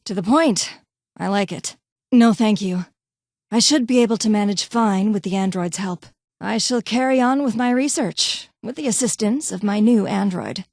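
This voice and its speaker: A woman playing a curt vault doctor and scientist from a video game. She is haughty and impatient, phrasing things formally in a clipped, condescending way. The voice sits at a middle pitch in a very dry space. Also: moderate pace, darkish tone, dry recording, natural voice. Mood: cool, satisfied. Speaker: voice actor in a game mod. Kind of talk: scripted game character dialogue.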